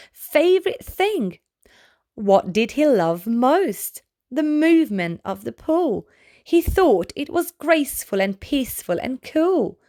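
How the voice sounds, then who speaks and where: A woman reading a picture book aloud for children at bedtime. Her voice sits high and moves a lot, and she reads slowly and gently, lingering on each line. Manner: gentle, expressive, unhurried. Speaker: storyteller.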